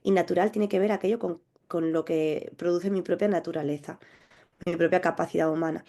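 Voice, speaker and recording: Mellow-voiced woman sexologist explaining calmly. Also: fairly low pitch. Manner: measured, thoughtful, with small restarts. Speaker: clinical sexologist guest. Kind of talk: explanatory interview answers.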